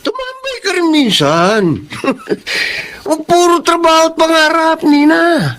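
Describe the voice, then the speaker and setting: A man's voice: very high voice, slow pace, slight background noise, heavily acted. An actor playing a cheerful, easygoing poor family man, content with a simple life, in a folksy Filipino radio drama style. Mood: proud, carefree. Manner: folksy, chuckling, animated.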